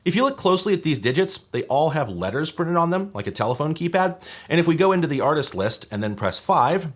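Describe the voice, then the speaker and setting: A man's voice: fairly high pitch, big pitch swings, fast talker. A man presenting an explainer video in an emphatic, wry, opinionated way, as if talking a viewer through a gadget review. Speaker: presenter of a retro tech review channel. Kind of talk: semi-scripted explainer video narration.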